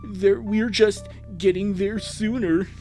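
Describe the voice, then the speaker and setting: A man's voice: sits high, steady tone, slow, a little mellow, faint music behind. A man voicing a kindly, firm cartoon dad with a hearty, encouraging fatherly manner. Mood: firm explaining.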